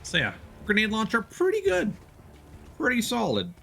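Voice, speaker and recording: A man's voice: high-pitched, wildly swooping pitch, normal speed, gravelly, sharp tone, soft background music. A man doing live let's-play commentary, casually explaining the game as he plays. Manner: casual, instructive. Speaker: game streamer. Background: video game ambience.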